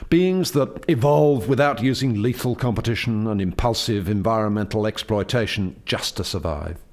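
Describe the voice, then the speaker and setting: An actor playing a dignified alien doctor in charge of a lab in a radio play, formal and composed in manner. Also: male voice, on the low side, moderate pace, darkish tone, natural voice. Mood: resigned, dignified. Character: dignified alien male doctor heading a planet-making lab.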